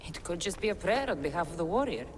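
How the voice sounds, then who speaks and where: A woman's voice: fairly low pitch, sing-song, fast, big-room sound, slight background noise. An actress playing a bold, determined female adventurer who asks direct questions. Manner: direct and inquisitive. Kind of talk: game cutscene acting.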